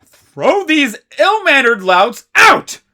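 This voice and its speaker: A man voicing a strict, imperious young male house leader who gives shrill commands. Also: sing-song, measured pace. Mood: commanding.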